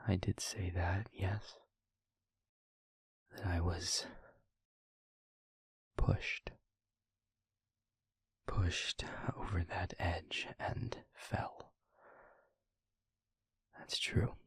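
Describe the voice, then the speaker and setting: A man playing a weary, brooding but protective demon in an intimate audio roleplay, speaking in a husky half-whisper with halting, formal phrasing. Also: sits low, flat delivery, no room sound, exaggerated voice. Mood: reluctant, somber. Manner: slow, halting, formal phrasing with heavy pauses. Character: weary, brooding male demon, gravely guarded yet protective.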